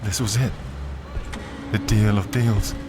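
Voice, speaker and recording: A man narrating a dark first-person audio drama, brooding and deliberate, with dramatic pauses. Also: lowish voice, fairly even intonation, music in the background. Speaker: narrator and performer. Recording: clear ambient noise behind the voice.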